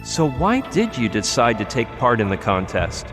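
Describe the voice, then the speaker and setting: Wry adventure-game hero, animated delivery, music bed. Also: male voice, mid-range voice, moderate pace, long reverb tail. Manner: sarcastic, joking. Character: wisecracking young male adventure hero. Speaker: game protagonist.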